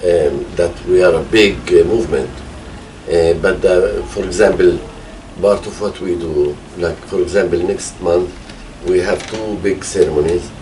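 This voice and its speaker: A middle-aged man from Palestine with a Palestinian Arabic accent, explaining his activism hesitantly, with many pauses. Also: low voice, fairly even intonation, darkish tone. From Palestine, Jerusalem area. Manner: hesitant, frequent pauses and hedging fillers. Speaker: peace activist, organization co-founder. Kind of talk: explanatory interview answer. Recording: distant mic, faint background noise.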